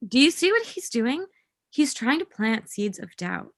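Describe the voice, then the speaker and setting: A woman's voice: high-pitched, sing-song, unhurried, dry recording. A woman playing a manipulative girlfriend, blunt and dismissive, in a comedy table read. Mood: indignant.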